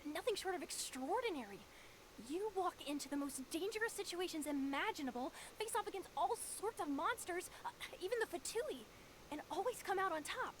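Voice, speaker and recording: High-voiced, flustered young registration clerk. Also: female voice, slight background noise. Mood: awestruck. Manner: hesitant, polite, stammering. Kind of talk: video game voice acting.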